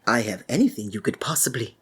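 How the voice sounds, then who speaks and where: Deep, husky, flamboyant sinister stage magician. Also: female voice, animated, heavily acted. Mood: genial, courtly. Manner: flowery, theatrical, genteel, drawn-out phrasing. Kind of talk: ASMR roleplay, theatrical monologue to the listener.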